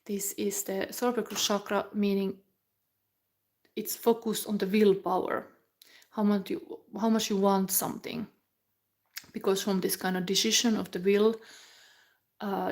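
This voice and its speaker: A woman's voice: medium pitch, creaky. Musing woman reading tarot. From Finland. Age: 40s. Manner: musing, halting, with soft whispered asides. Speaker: tarot reader.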